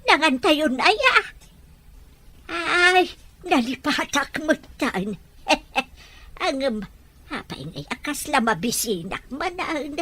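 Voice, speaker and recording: A woman's voice: sits high, big pitch swings, slow, long pauses, bright, forceful tone, slight background noise, full character voice. A woman playing a forgetful, confused old grandmother in an audio drama, repeating herself. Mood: hungry, muddled. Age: older. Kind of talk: amateur audio drama acting.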